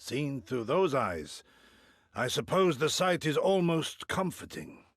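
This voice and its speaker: Gravelly, theatrical samurai. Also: male voice, fairly high pitch, very animated, no room sound, clean studio sound, heavily acted. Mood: reflective, wry. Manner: formal archaic diction, dramatic pauses. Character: earnest, theatrical samurai warrior, proud and inquisitive. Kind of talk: dramatic video game voice acting.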